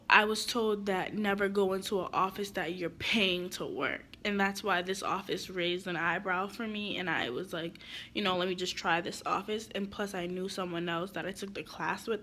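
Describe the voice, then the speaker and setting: A woman's voice: medium pitch, a bit bright, a little hiss or hum. A young woman giving casual real estate advice to camera, her voice stuffy from being sick, chatty and rambling with lots of fillers.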